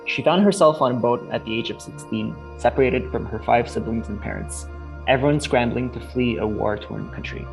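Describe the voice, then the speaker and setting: A man reading a family story in an earnest, measured way. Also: mid-range voice, creaky, soft background music. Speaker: interviewee.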